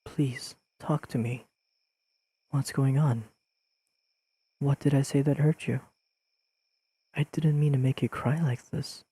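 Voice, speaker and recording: A man playing an earnest, awkwardly analytical young best friend in an intimate audio roleplay, speaking haltingly and carefully to the listener. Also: medium pitch, fairly even intonation, slightly rough, dry recording. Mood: worried, pleading. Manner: halting, careful, softly pleading, slightly formal wording.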